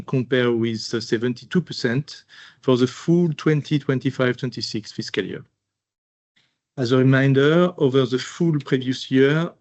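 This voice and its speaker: A man's voice: mid-range voice, a little mellow. A man reading a scripted financial presentation in a formal way, as a chief financial officer.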